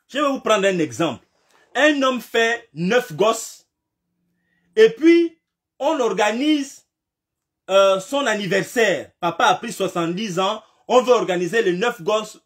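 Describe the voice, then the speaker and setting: Guy with a bit of a cold ranting about politics, highish voice, keeps repeating himself and asking the audience questions. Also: lively intonation, measured pace. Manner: emphatic, repetitive, rhetorical questions, rising to shouts. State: has a slight cold. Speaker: political commentator. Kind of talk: impassioned political commentary.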